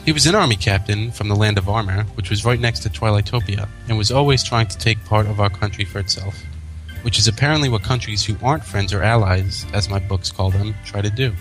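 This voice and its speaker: A man's voice: lowish voice, fairly quick, a bit bright, poor audio. A man co-hosting a fan fiction reading, narrating the story aloud. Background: faint music.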